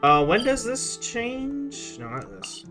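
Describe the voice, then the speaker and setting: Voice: medium pitch, big pitch swings, takes it slowly. A young adult streamer giving casual gameplay commentary, rambling in a slangy, profane way. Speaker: game streamer. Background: game music.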